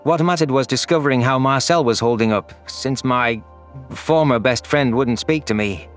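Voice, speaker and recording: A man narrates a science fiction audio story in a scripted first-person style. His voice sits at an ordinary middle pitch, with faint music underneath. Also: moderate pace. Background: faint background music.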